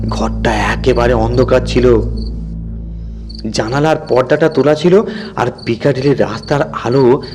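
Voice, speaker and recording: A man's voice: mid-range voice, unhurried, pauses often. Man narrating horror over music. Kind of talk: scripted dramatic story narration. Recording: music bed behind the voice.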